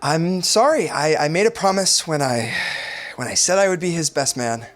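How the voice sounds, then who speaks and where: An actor playing an earnest, loyal young man in a sitcom, halting and emotionally open. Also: fairly high pitch, lively intonation, a bit bright, faint music behind. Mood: apologetic, flustered. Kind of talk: podcast sitcom acting.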